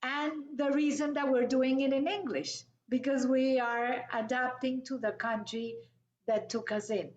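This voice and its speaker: A middle-aged woman from Colombia, hosting an interview over a video call in a measured, welcoming way. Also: high-pitched, fairly even intonation. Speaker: host. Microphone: video call webcam. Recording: echoey, dull webcam audio.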